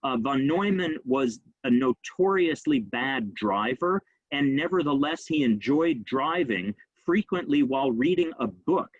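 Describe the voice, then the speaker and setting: A man gives a history lecture at a brisk, conversational clip, his speech dotted with ums and uhs. The sound is dry and a bit dull, as from a plain recording in a quiet room. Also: mid-range voice, lively intonation, no echo. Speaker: rabbi giving a history lecture. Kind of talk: informal illustrated talk.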